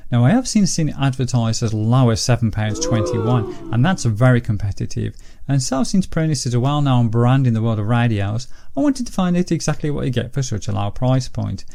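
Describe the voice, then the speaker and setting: A man's voice: mid-range voice, animated, fairly quick, vocal fry, warm tone. A man presenting a casual product review in a methodical, explanatory way. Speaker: host of gadget review videos.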